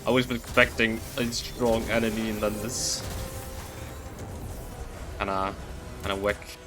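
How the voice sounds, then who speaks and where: Low-voiced man streaming a game. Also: fairly even intonation, a little slow, music in the background. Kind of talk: casual live game commentary.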